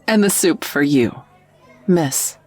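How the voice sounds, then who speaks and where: A woman's voice: deep voice, expressive, slow pace, some chatter behind, full character voice. A woman playing a polite, attentive restaurant server in an audio roleplay, with a formal service tone.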